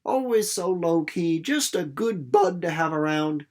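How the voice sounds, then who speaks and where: A man giving casual commentary on a book as a teacher, informal and a little halting as he thinks aloud. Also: fairly high pitch, lively intonation. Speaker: reader and teacher. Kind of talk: storybook narration and casual literary commentary for students.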